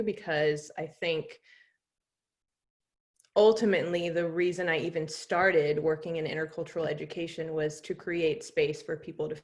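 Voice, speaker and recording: A woman from the United States, an intercultural educator, answering interview questions thoughtfully with many pauses. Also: low-pitched. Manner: thoughtful, many "um"s, soft laughs.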